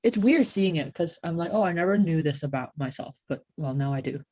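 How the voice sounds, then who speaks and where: Low-pitched young woman chatting reflectively. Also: expressive, brisk, warm tone, dry recording. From Hong Kong. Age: young adult. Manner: reflective, peppered with "like". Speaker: podcast co-host. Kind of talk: casual chat.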